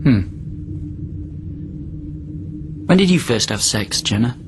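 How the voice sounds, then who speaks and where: A man's voice: medium pitch, sing-song, fairly quick, noisy background, lightly acted. A man acting in a radio drama as a volatile captive from centuries past, probing and rambling, swinging between tender and menacing. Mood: probing, nostalgic.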